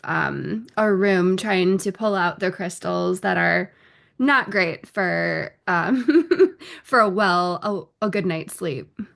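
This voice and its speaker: A woman's voice: fairly low pitch, creaky, fairly bright tone. A woman in her thirties hosting a podcast, welcoming listeners and chatting casually with her co-host. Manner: friendly, welcoming, some fillers. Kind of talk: casual chat.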